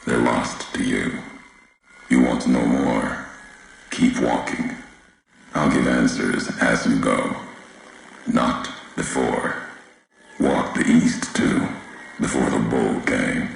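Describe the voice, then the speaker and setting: An actor playing a grave, brooding wasteland wanderer in a video game, solemn and cryptic, speaking in clipped sentences with a gravelly voice. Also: male voice, very deep voice, fairly even intonation, takes it slowly, pauses often, exaggerated voice. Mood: stern, guarded. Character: grave, brooding male wasteland wanderer, bitter about history. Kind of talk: dramatic scripted game dialogue. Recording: heavy echo, faint background noise.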